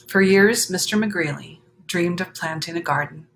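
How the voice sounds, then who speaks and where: A woman's voice: on the low side, normal speed. Woman reading to children, noisy background. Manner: expressive, explanatory, addressing young listeners directly. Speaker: storyteller reading a picture book aloud to children. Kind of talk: children's read-aloud with chatty asides.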